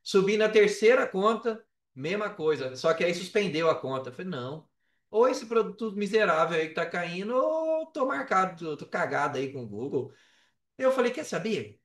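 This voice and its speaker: A man's voice: high voice, big pitch swings, moderate pace, distant mic. A man with a Brazilian accent telling a story casually and animatedly in slangy speech, acting out bits of dialogue. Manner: colloquial, slangy, re-enacts dialogue. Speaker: podcast guest, affiliate marketer. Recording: roomy, echoey, off the mic.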